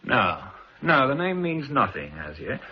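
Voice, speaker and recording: A clipped spy-catcher. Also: male voice, mid-range voice, sing-song. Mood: measured. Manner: crisp, measured, clipped officer's delivery. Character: British intelligence man, calm and methodical. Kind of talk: 1960s radio drama acting. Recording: dull old broadcast recording with faint hiss.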